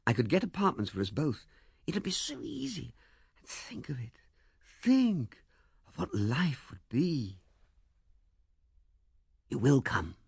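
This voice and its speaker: A man's voice: mid-range voice, huge pitch swings, slow pace, creaky, long pauses, breathy edge, no echo, clean studio sound, voice pushed up, full character voice. Man playing an ardent, urgent young man. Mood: coaxing, fervent. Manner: urgent, emphatic, insistent. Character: ardent, determined young man pleading for marriage. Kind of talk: audiobook character dialogue.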